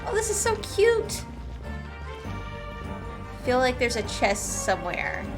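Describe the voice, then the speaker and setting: A woman's voice: high-pitched, wildly swooping pitch, takes it slowly. A woman doing casual gameplay commentary as a streamer, chatty, playful and gushing. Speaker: game streamer. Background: game music.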